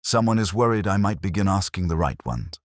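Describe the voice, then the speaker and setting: Man as mystery-story police inspector: very low, quietly deliberate, shrewd, with lively inflection. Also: no room sound, studio quality. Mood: quietly knowing.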